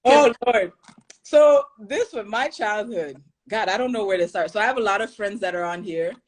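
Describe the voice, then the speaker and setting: A woman from Haiti speaks as a guest on a podcast, earnest and reflective, laughing now and then. Her pitch sits in a middle range for a woman, and she talks in a casual, conversational way. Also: normal speed. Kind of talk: casual interview talk.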